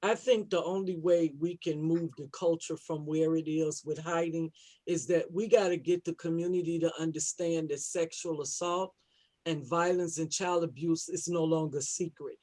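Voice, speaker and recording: Guy on a panel, pretty high voice, plain-spoken and insistent. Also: fairly even intonation, normal speed, a little mellow. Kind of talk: emphatic panel discussion.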